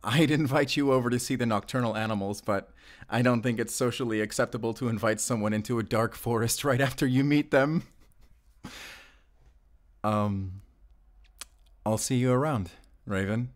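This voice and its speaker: A man playing a friendly, bashful forest ranger and doting father in an intimate audio roleplay, chatty and gently teasing. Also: mid-range voice, moderate pace, pauses often, lightly acted.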